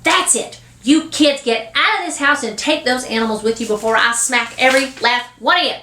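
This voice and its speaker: A woman's voice: fairly high pitch, lively intonation, moderate pace, voice pushed up. Woman voicing exasperated bossy mother, echoey room. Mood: exasperated, scolding. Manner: sharp commands, threatening, hollering.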